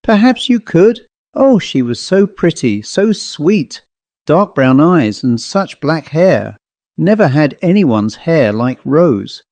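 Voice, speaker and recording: A man's voice: on the high side, big pitch swings, slow pace, a little mellow, dry recording, pitched above the performer's own voice, exaggerated voice. A man voicing a grieving, unsettled father with a desperate, pleading manner, in a ghost story. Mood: eager, wistful. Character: grieving, unsettled father, eager and desperate, old friend of narrator.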